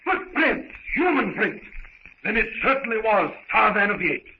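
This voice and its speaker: A grave, frank officer speaks in a fairly high, voice, formal and emphatic. It is a dull old radio serial recording with faint noise. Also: male voice, big pitch swings, normal speed. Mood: intent. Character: grave, frank French lieutenant leading a jungle search. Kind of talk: 1930s radio serial acting.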